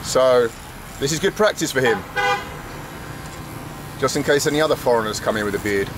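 A man's voice: mid-range voice, lively intonation, normal speed. A man in his forties, a travel vlogger, chatting jokily to camera and to locals in simple English while filming himself on a handheld camera. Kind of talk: casual travel vlog narration. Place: open-sided roadside barber hut, then a sunny street outdoors. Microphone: handheld action camera at arm's length. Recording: outdoor street noise behind.

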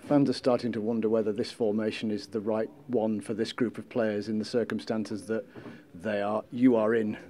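A man's voice: fairly low pitch, level tone, moderate pace, hardly any pauses, dark tone, slight background noise. A male interviewer putting direct, probing post-match questions to a football manager.

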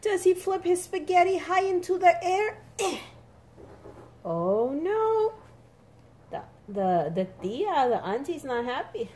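A woman reading a picture book aloud to young children in an expressive, sing-song, emphatic way. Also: very high-pitched, slow pace. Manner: animated, emphatic, sing-song with repeated words for small children.

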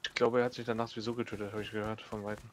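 A man playing along as a teammate, talking quietly in short, laconic replies. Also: fairly low pitch, flat delivery, very fast, slight background noise. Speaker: gaming teammate. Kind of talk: casual gameplay chat.

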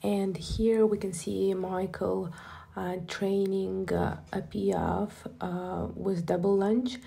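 A woman's voice: mid-range voice, level tone, slow, vocal fry, mellow, husky. A young woman vlogging casually about a dressage event, chatty with hesitant fillers, filmed on a handheld phone. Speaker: vlogger for a horse training team. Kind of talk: casual vlog narration. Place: outdoors at an equestrian venue and indoors.

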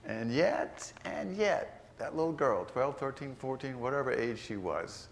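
An older man, a priest, delivering a sermon in a large church, slowing down to stress key phrases. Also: mid-range voice, expressive, creaky, a little hiss or hum. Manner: unhurried, earnest preaching with slowed emphatic phrases.

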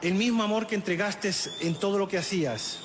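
A man's voice: high-pitched, slight background noise. Middle-aged Chilean man, solemn eulogist at a lectern, raised voice, faint crowd ambience behind. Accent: Chilean Spanish. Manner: solemn, measured, with halting restarts. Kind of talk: funeral eulogy, read aloud. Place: outdoor funeral gathering. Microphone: lectern microphone. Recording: echoey, reverberant outdoor sound with faint ambience.

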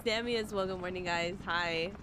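A young woman with a hoarse, worn voice talks casually into a headset mic, greeting viewers by name as she plays. Loud gunfire and game sounds crowd the background. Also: lowish voice, moderate pace, very noisy. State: hoarse, losing her voice. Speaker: streamer. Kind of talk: casual game stream chat. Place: bedroom.

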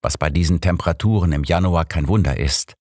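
A man's voice: low voice, brisk, a little mellow, no room sound, studio quality. A man voicing a courteous, sympathetic village doctor in an audiobook, polite and explanatory. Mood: earnest. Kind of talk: audiobook character dialogue.

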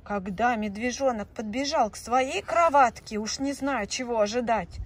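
A woman telling a children's fairy tale in a slow, expressive, sing-song way. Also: a little high, breathy edge. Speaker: storyteller. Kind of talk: children's story narration with paper puppets. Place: indoors, tabletop on green felt.

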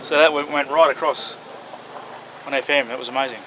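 A man's voice: mid-range voice, fairly even intonation, a little fast. An Australian man in his forties giving an informal outdoor demonstration as an amateur radio operator. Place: open grassy hillside outdoors. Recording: outdoor recording with clear background noise.

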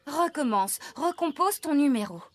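A woman's voice: high-pitched, animated, normal speed, slight background noise. A woman voicing a practical, slightly bossy young farmer in a children's cartoon, direct and instructing. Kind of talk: children's cartoon dub acting.

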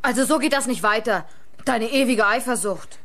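An actress playing a defiant, exasperated young woman in a German radio crime play, with a brisk, snappish manner. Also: on the high side, fairly bright tone.

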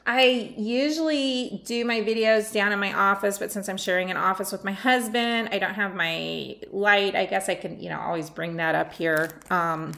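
A middle-aged woman chatting casually as she unboxes a swap package at home, rambling and thinking out loud, her voice a little worn as she comes down with something. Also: medium pitch, moderate pace, creaky, few pauses. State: losing her voice, getting sick. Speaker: nail art swap host. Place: sunny room at home by a window.

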